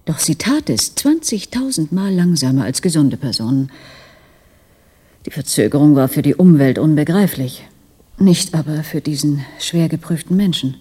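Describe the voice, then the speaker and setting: An earnest woman doctor in a science fiction radio play. Her voice is low and mellow, with a husky softness. She speaks in a measured, intimate manner, devoted to the person she addresses. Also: expressive. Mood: grave, measured. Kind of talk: German radio drama acting.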